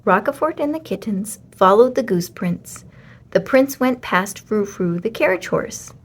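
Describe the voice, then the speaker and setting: A woman reading a children's story aloud as an expressive storyteller. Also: mid-range voice, a little slow, slightly rough.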